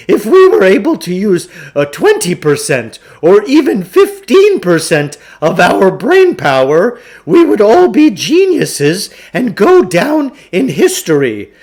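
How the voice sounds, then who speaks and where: A pompous storybook huckster, acted out by a man reading aloud, pitched very high and projected. He lectures grandly, with big swoops in pitch, as if every idea were a revelation. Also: exaggerated voice. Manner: grandiose, speaks of himself in third person. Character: pompous showman lecturer selling crackpot mind-control theories. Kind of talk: storybook character voice in a read-aloud.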